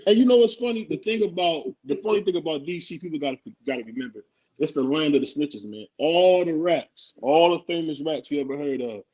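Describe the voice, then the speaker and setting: Podcast co-host, male, husky voice, slightly high, joking and argumentative, muffled lo-fi sound. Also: a little fast, darkish tone. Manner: joking, argumentative, laughs often. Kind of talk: casual sports talk. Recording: dull lo-fi sound.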